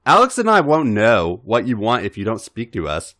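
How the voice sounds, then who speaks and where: A man's voice: on the low side, huge pitch swings, moderate pace, bright, forceful tone, exaggerated voice. A man voicing a confident, coaxing younger male wrestler with an insistent, teasing manner in a story reading.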